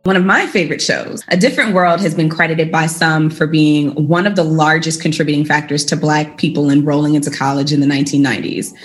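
A woman co-host speaking in a chatty, animated way. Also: sits low, fairly quick, hardly any pauses, faint music behind. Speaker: podcast co-host.

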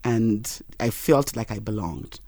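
A man's voice: fairly low pitch, mellow, dry recording. Earnest man, dry close sound. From Nigeria. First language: Yoruba. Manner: earnest, measured storyteller. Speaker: interview guest. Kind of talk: personal storytelling in an interview.

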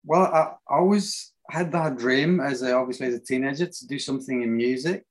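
This is a man from Greece speaking, a guest on an interview podcast. He talks in a relaxed, open and reflective way, often pausing with little fillers as he thinks. His voice sits at an ordinary middle pitch for a man. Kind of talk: casual interview conversation.

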